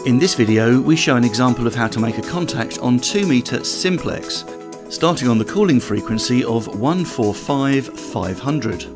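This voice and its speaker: A man's voice: mid-range voice, expressive, moderate pace, music in the background. A British man giving an instructional demonstration as an amateur radio operator and trainer. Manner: spells call signs in phonetic alphabet.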